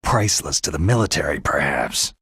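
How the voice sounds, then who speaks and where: Very husky, low-voiced gruff soldier. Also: male voice, expressive, fairly quick, fairly bright tone, no room sound, clean studio sound, exaggerated voice. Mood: dryly sardonic. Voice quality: gravelly, husky. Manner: terse, skeptical questioning. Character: gruff, sardonic veteran special forces soldier, adult man. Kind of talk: video game voice acting.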